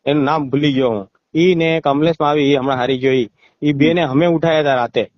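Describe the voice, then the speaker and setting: Middle-aged man, rural eastern Gujarat accent, rapid and domineering persuader, slightly high voice, dull phone-recording sound. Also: fairly even intonation, dry recording. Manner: insistent, domineering, talks over the listener. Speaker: political party member. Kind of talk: informal phone conversation, persuading. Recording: muffled, band-limited phone call recording.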